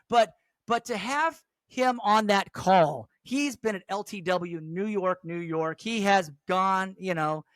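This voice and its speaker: A man with a notably high voice talks like the seasoned radio host he is, rambling through stories with quick restarts. The sound is dry and close, with no room around it. He is middle-aged and relaxed in conversation. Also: clear, resonant tone, dry recording. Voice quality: higher voice. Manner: chatty, storytelling, frequent restarts. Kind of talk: casual chat.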